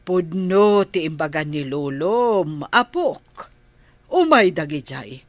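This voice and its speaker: A woman playing an elderly, motherly grandmother in an Ilocano radio drama, with a drawn-out, expressive delivery. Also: fairly low pitch, no echo. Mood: reassuring.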